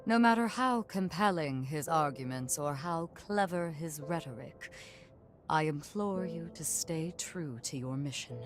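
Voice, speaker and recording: A woman playing a solemn, dutiful guide in a video game cutscene, speaking formally and earnestly in measured phrases. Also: low voice, animated, soft background music. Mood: earnest warning. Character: solemn, dutiful female guide briefing a hero.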